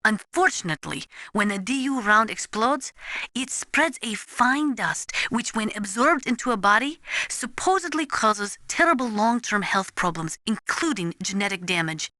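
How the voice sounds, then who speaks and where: Bright-voiced, formal nuclear analyst. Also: female voice, medium pitch, lively intonation, moderate pace, no echo, studio quality. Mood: grave, cautioning. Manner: formal, precise, avoids contractions, lecturing. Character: serious, knowledgeable female nuclear weapons analyst. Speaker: video game voice actor. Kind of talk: scripted expository game dialogue.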